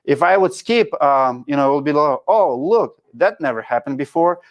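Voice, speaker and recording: Casting: Russian-accented man, thirties, livestream host, frank coach, slightly high voice. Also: expressive, normal speed. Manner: frank, coaching, drops articles. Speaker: show host reading live chat. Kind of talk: casual livestream talk.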